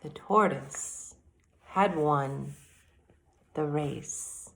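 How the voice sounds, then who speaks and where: Slow-paced woman teacher reading to children. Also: deep voice, creaky, a bit bright. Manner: deliberate, addresses children directly with questions and asides. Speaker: elementary school teacher reading aloud. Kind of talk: expressive children's read-aloud and mini lesson.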